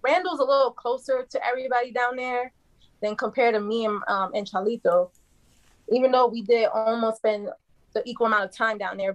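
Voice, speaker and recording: A chatty woman born in the Dominican Republic, whose first language is Spanish. Her voice sits a little high, and she laughs easily as she tells family stories in a relaxed conversation. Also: low-quality recording. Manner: chatty, laughs often while telling stories. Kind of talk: casual family chat.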